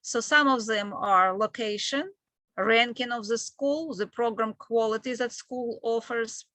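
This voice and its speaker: A woman from Ukraine with a Ukrainian accent, presenting a webinar in a halting, explanatory way as an admissions manager. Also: fairly high pitch, sharp tone, no room sound. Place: online video call with screen sharing.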